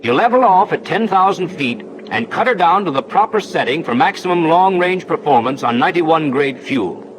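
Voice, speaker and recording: Male actor as folksy instructor-narrator, playful, animated pitch, 1940s film soundtrack, faint noise. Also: normal speed, few pauses, close to an everyday voice, poor audio. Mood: instructive.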